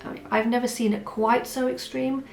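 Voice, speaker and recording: A woman who is hoarse from a cold, vlogging in a chatty, rambling way with many asides. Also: fairly high pitch, flat delivery, normal speed, a little mellow. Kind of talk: casual chat. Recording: roomy echo with faint music.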